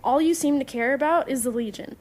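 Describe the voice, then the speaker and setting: A woman's voice: high voice, a little fast, close to an everyday voice. A woman playing a troubled, principled young superhero in an audio drama, speaking sincerely with firm moral conviction. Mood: upset, firm.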